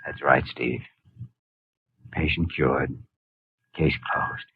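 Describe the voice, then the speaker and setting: An actor playing a calm, serious surgeon in a 1950s radio drama, speaking in a terse, measured, clinical manner. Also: male voice, extremely low pitch, vocal fry, exaggerated voice. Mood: reassuring. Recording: muffled 1950 radio recording with faint hiss.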